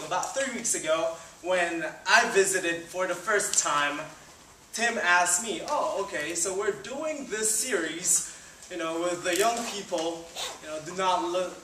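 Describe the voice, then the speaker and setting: A man's voice: high voice, sharp tone, a little hiss or hum. A man in his twenties from the Philippines giving a chatty, upbeat church talk, with many fillers. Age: 20s. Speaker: student ministry leader. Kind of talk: informal sermon talk. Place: church hall stage. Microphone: far camera, no mic seen. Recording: roomy hall sound from a distance.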